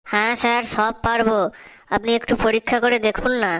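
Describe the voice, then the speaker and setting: Brief: shrill cocky little boy, cartoon, Bengali accent, flat delivery. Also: fairly quick, smooth, no room sound. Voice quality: very high put-on child voice. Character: cheeky bald schoolboy, confident and silly.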